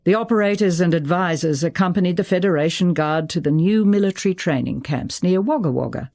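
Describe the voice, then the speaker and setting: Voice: mid-range voice, brisk, a little mellow, natural voice. A narrator voicing a terse, frustrated younger female government minister, curt and matter-of-fact.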